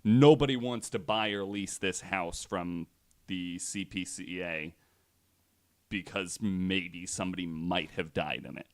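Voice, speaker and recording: A young man hosting a casual podcast, retelling a story in a relaxed way with lots of fillers. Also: low voice, measured pace. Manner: relaxed, many fillers, voices others' claims with mock quotes. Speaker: podcast host.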